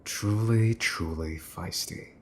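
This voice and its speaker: A man playing a possessive, teasing young mafia boss's son in an ASMR roleplay, smug and taunting, slipping into breathy whispers. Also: fairly low pitch, slow pace, noisy background. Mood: mocking, coaxing. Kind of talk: ASMR boyfriend roleplay acting.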